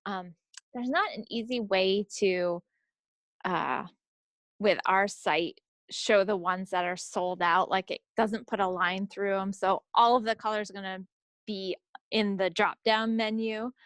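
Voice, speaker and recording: A woman's voice: mid-range voice, steady tone, measured pace, fairly bright tone, no echo, clean studio sound. A woman co-hosting a knitting podcast, chatting informally and off the cuff. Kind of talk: casual, unscripted craft chat.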